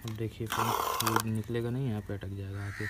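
A man's voice: lowish voice, level tone, a little fast. A man giving a casual hands-on tutorial as host of a DIY video, recorded on a phone. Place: open concrete rooftop outdoors. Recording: noisy outdoor recording with cawing crows. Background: loud outdoor ambience, crows cawing.

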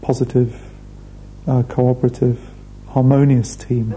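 A man, speaking thoughtfully in a documentary interview with measured pauses. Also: medium pitch, level tone, mellow, a little hiss or hum, poor audio. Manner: measured, thoughtful, hesitant fillers. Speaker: restaurant founder. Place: indoor room.

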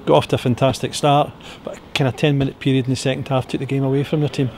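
A male interviewer fires off quick questions at a rapid clip in a fairly flat voice. He is outdoors by a stadium pitch, and a light background hum surrounds the recording. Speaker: club media interviewer. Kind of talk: post-match interview questions. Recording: faint outdoor stadium ambience.

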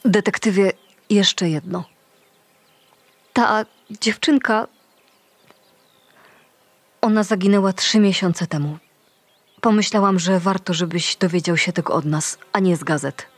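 An actress playing a composed, considerate forensic investigator in an audio drama, speaking politely and plainly. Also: female voice, medium pitch, steady tone, measured pace, pauses often, dry recording. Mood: concerned. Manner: matter-of-fact, polite.

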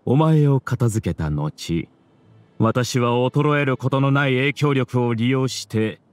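A man's voice: medium pitch, natural voice. A man voicing an arrogant scholar villain in a video game, grandiose and lecturing in a theatrical way. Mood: triumphant, scheming. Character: arrogant male scholar villain, mastermind of a hive mind. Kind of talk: Japanese video game voice acting.

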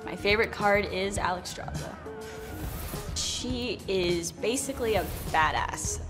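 A woman's voice: medium pitch, a little slow, over music. A woman in her twenties from Florida, an esports player giving short interview answers. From Florida, United States. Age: 20s.

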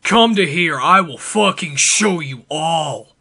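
A man giving an over-the-top dramatic reading, theatrical, emphatic and deliberate. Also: on the high side, lively intonation, creaky, bright, forceful tone, slightly rough. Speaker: performer reading social media posts aloud.

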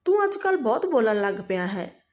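A bitter, domineering mother-in-law from a narrated family tale, acted by a woman storyteller. The voice is highish and lively in pitch, with a sharp, scolding way of talking. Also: brisk.

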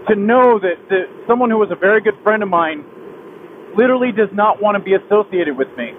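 High-voiced man on a tinny helmet mic. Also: animated. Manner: halting, heavy-hearted, restarts often. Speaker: motovlogger. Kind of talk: personal confession while riding. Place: on a motorcycle outdoors on a highway. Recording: tinny helmet mic with wind and road noise.

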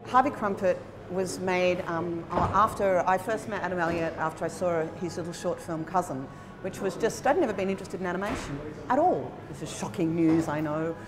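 An Australian woman in her forties talking conversationally in an interview as a film producer, in an animated, emphatic, informal manner. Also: lowish voice, normal speed, few pauses, music in the background. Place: indoor room by a bright window at a festival. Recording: chatter of people in the background.

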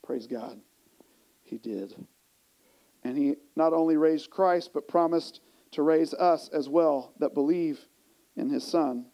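A man in his forties preaching a sermon as a pastor, with an emphatic delivery that moves between hushed and raised. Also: fairly high pitch, slow pace, long pauses, poor audio. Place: church sanctuary stage, indoors. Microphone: small mic clipped to the chest.

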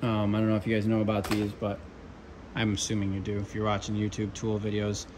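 A man's voice: fairly low pitch, brisk, noisy background. Chatty young man showing off his tools. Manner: relaxed, chatty, with frequent ums and asides. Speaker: HVAC control technician. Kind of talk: casual tool walkthrough. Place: workshop with pegboard and workbench.

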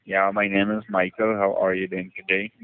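A man cold-calling as a telemarketer with a hesitant sales pitch over the telephone. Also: level tone, normal speed, smooth, no room sound. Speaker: telemarketing caller. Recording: tinny narrowband phone line.

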